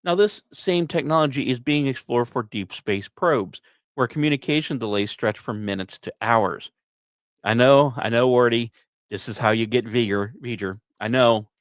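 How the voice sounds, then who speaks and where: A man's voice: mid-range voice, normal speed, no room sound. A man hosting a podcast, reading scripted science news commentary with emphatic, dramatic phrasing and short punchy repeats, dropping in personal asides. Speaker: podcast host.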